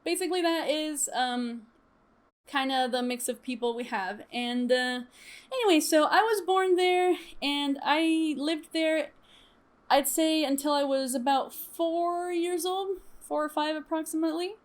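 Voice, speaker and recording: A young woman from Chile chatting casually to her stream viewers, lively and laughing often, with many fillers. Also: high-pitched, measured pace. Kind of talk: casual storytime chat.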